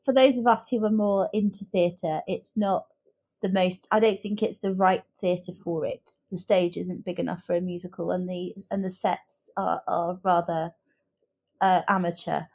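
A woman's voice: lowish voice, steady tone, moderate pace, creaky, a little mellow, husky. A woman co-hosting a casual book chat, speaking in articulate, considered phrases. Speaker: podcast co-host.

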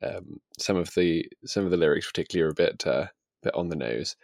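A man's voice: deep voice, fast talker, gravelly, a little mellow, no echo, studio quality. A man co-hosting a casual book chat in a chatty, hesitant, understated way. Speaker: podcast co-host.

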